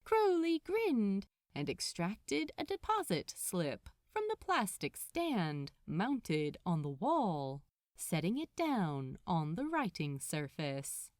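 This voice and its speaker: A woman reading scripted fiction aloud as an expressive storyteller. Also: mid-range voice, slow, dry recording, clean studio sound. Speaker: podfic narrator.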